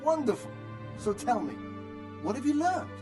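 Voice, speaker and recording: Scholarly game mentor, encouraging and theatrical, high-set voice, very animated melody. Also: male voice, normal speed, music in the background. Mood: encouraging, instructive. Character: learned, encouraging male scribe tutoring a young man. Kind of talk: medieval video game cutscene acting.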